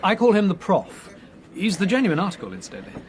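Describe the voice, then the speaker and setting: A breezy young Englishman in a radio play. His voice is fairly high and very animated, flippant in manner, with clear noise behind it. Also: fast. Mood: breezy, teasing. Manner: jaunty, flippant. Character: breezy, joking young Englishman, irreverent traveller. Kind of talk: radio drama acting.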